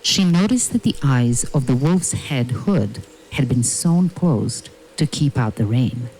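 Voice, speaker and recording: Slow, measured male storyteller. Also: mid-range voice, lively intonation, mellow, a little hiss or hum, low-quality recording. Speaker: narrator. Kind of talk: scripted storybook narration.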